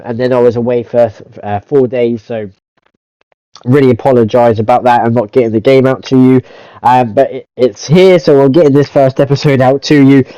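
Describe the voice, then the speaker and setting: A man's voice: mid-range voice, darkish tone, dry recording, rough recording. A man with a cold, chatting through football game commentary for his channel, rambling with lots of hesitant fillers. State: stuffy-nosed from a cold. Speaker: gaming YouTuber. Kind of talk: casual gameplay commentary.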